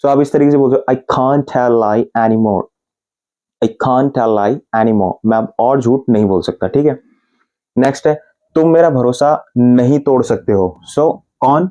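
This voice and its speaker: A man with an Indian accent gives an English lesson, spelling out example sentences one word at a time. His voice is mellow and warm, sitting at an ordinary middle pitch. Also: lo-fi sound. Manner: explains patiently, repeating example sentences slowly word by word. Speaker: English teacher. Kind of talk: Hindi-language English grammar lesson.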